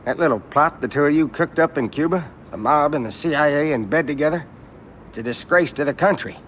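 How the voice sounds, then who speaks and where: An actor playing an indignant, worried senator tangled up with mobsters in a game cutscene, agitated and sardonic. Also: male voice, fairly high pitch, fairly quick, a bit bright, a little hiss or hum, close to an everyday voice. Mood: sardonic outrage. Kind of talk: video game cutscene acting.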